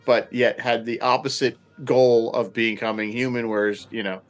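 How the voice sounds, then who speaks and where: A man's voice: medium pitch, flat delivery, moderate pace, faint music behind. A man in a casual roundtable discussion, reasoning things through in an explanatory way.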